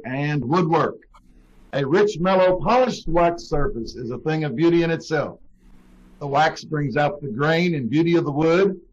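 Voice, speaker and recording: Dull-sounding male old-time radio announcer. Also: on the high side. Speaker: commercial announcer in a radio show. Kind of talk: 1940s radio announcer reading a scripted ad. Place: video call. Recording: dull, lo-fi video-call sound.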